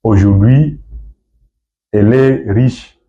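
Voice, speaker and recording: Muffled audio, Congolese man, dark low voice, activist interviewee, halting delivery, repetitive rephrasing. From DR Congo, from Goma. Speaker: activist guest being interviewed. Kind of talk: political interview answers. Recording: dull, muffled lo-fi sound.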